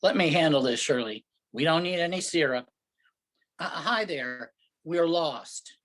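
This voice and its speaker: A man's voice: fairly high pitch, expressive, unhurried, a bit bright. A man playing an impatient, grumbling New York husband lost in the countryside, in a staged reading of a comic play. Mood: impatient, sarcastic. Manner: sarcastic, grumbling.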